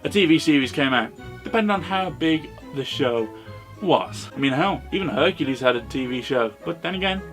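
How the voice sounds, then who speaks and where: A British man with a fairly high voice presents a review in an animated, swooping delivery. He is sardonic and opinionated, happy to swear, with music playing under him. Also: normal speed. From Britain. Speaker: host and reviewer. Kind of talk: informal scripted video review commentary.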